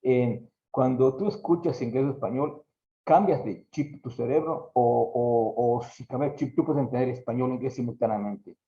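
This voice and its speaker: A man's voice: mid-range voice, moderate pace, mellow. A man, a professor asking a guest lecturer long, formal questions. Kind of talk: conference Q&A. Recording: echoey muffled room sound.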